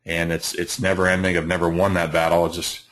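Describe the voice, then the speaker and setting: A middle-aged man, slightly hoarse, hosting a live trading webinar with many fillers and restarts. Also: low voice, completely flat delivery, brisk, a bit bright. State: hoarse from allergies. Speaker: trading educator, webinar host.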